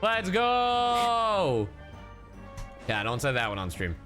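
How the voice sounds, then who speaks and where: A man talks casually with viewers while playing a game, joking and swearing freely. His pitch swings a lot, giving the chatter an animated feel. Faint game music sits behind him. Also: slow. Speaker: streamer. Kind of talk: casual livestream commentary.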